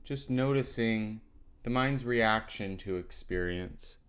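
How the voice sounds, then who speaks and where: A man with a fairly low, smooth and clear voice teaches a guided meditation. He gives gentle instructions without hurry, leaving pauses between his phrases. The delivery suits a mindfulness practice.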